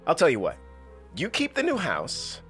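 A man's voice: a little high, huge pitch swings, normal speed, fairly bright tone, faint music behind. An actor playing a guileless, earnest young wannabe businessman in a comedy radio play. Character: naive, eager young bearded wannabe businessman.

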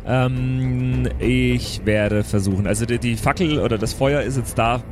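A man of medium pitch takes his turn in a tabletop game. He talks casually and tentatively, often asking for confirmation. Faint music plays behind him. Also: fairly even intonation, a little slow. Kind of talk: casual improvised game chat.

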